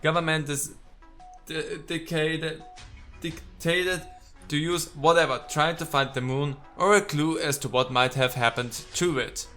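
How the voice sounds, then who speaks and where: A man's voice: a little high, steady tone, a little slow, sharp tone, faint music behind. A man doing let's play commentary, reading game text aloud and reacting with sarcasm and exasperated swearing. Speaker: let's player.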